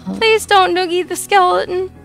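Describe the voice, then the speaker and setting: A woman voicing a proud, excitable male skeleton guard captain in a grandiose, exclamatory way for a video game. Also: very high voice, normal speed, creaky, soft background music, voice pushed up, heavily acted. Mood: earnest. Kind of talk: dramatic video game dialogue reading.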